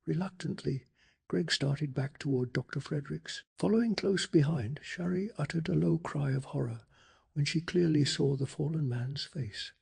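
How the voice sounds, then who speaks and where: A man narrating a bedtime story in a soft-spoken ASMR style, with a breathy, hushed and dramatic delivery. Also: on the high side, normal speed.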